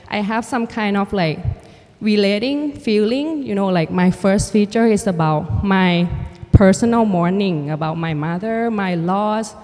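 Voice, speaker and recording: A Thai woman artist and filmmaker, a native Thai speaker, talking reflectively in a halting way with many restarts and fillers. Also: lowish voice, mellow. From Thailand. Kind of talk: reflective conversation. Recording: hall with long reverb.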